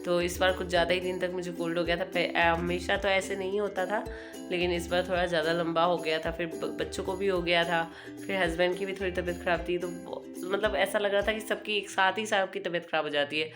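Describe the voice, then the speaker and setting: A young woman, hoarse from a cold, chatting casually as she narrates her home cooking vlog. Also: fairly low pitch, steady tone, a little fast, over music. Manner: chatty, homely, meandering. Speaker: cooking vlogger.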